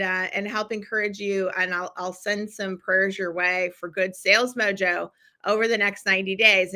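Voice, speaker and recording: A middle-aged woman hosting a live-stream challenge, chatting warmly with viewers and encouraging them, with lots of ums and restarts. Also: medium pitch. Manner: chatty and encouraging, with many fillers. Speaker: podcast host running a live challenge. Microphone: streaming from a computer.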